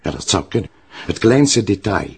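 A man's voice: low-pitched, sing-song, moderate pace, creaky, husky, exaggerated voice. An actor with a Flemish accent playing a blunt, teasing police detective in a radio drama, probing as he talks.

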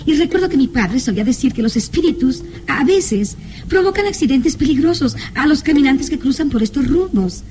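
A woman's voice: high-pitched, animated, fairly quick, darkish tone, faint music behind. An actress playing a fearful, superstitious young woman in a melodramatic radio drama. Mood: uneasy.

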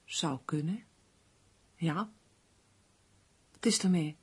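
A woman's voice: low-pitched, slow pace, breathy edge, heavily acted. An actress in a radio play playing a guarded, uneasy woman who speaks hesitantly and tersely. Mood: guarded, puzzled.

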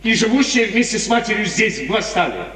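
An actor playing an imperious, lovesick young prince in a 1950s radio drama, with impassioned, declamatory theatrical delivery. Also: male voice, high-pitched, very animated, fairly quick, fairly bright tone. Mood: haughty, agitated. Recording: echoey, distant, lightly noisy 1950s radio recording.

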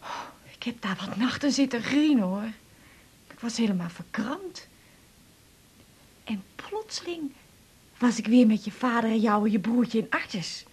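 A husky, hurt middle-aged mother. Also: a little high, animated, unhurried, long pauses, darkish tone, full character voice. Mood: wistful, sad. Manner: colloquial, confiding, rambling. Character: hurt, chatty mother back from a failed holiday. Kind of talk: naturalistic 1980s radio drama dialogue.

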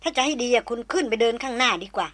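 A woman reading the part of a cool, sharp-tongued woman adventurer in an audiobook. She speaks slowly, crisply and formally, keeping a cold edge. The voice is bright and dry. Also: fairly high pitch, dry recording. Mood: guarded.

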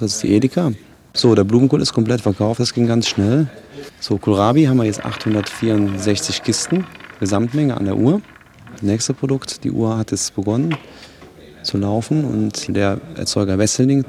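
A man's voice: lowish voice, dark tone. A man working at an auction hall, giving a matter-of-fact running commentary in short updates.

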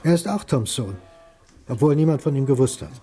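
An actor playing a wary, reluctant rural farmhand who answers curtly in a crime radio play. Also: male voice, medium pitch, animated, fairly quick, mellow, slightly rough, soft background music. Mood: guarded. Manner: guarded, curt, reluctant.

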